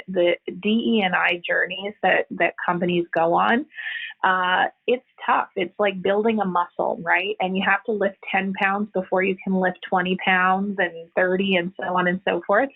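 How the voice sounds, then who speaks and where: Woman exec guest, husky and gravelly, earnest and halting, heard over a tinny phone line. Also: mid-range voice. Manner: earnest, explanatory, frequent hesitations. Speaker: HR executive, podcast guest. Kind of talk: conversational interview answers.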